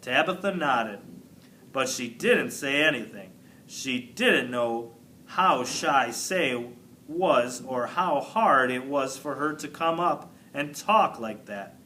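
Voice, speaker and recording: A man reading a children's book aloud in an expressive, emphatic narrating style. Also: mid-range voice, slow pace, a little hiss or hum, poor audio. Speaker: storybook reader.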